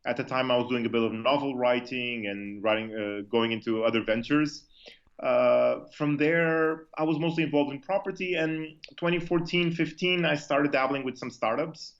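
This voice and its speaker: This is a man from Portugal giving conversational answers as a business guest in an interview. His voice is mid-pitched. The recording is somewhat dull and lo-fi. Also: steady tone, moderate pace. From Lisbon, Portugal. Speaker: company co-founder, interview guest. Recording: dull, lo-fi sound.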